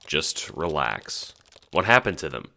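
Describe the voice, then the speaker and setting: A man's voice: very deep voice, big pitch swings, unhurried, vocal fry, background noise, exaggerated voice. A man voicing a cocky, slangy teenage gamer bro in an audio drama, full of jokey buddy talk. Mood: concerned.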